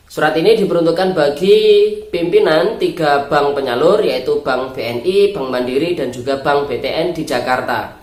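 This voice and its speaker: An Indonesian YouTube host speaks with a nasal, flu-congested voice in a roomy, echoing space. The pitch sits in the middle, and the delivery is explanatory and informational, addressed directly to the viewers. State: stuffed-up nose from flu. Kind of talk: informational vlog narration, reading out an official letter. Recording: roomy echo on the voice.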